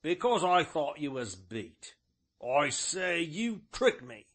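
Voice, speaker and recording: A man's voice: a little high, big pitch swings, slow pace, a bit bright, heavily acted. A man voicing an angry, proud, brawny blacksmith with an English rural dialect, blunt and threatening. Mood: aggrieved, threatening.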